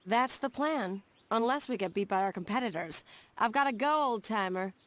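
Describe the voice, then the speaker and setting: Brusque young company boss. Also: female voice, on the high side, animated, normal speed, a bit bright, no room sound. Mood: brisk, dismissive. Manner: brusque, self-assured. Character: brisk, confident young railroad company boss. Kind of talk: video game cutscene acting.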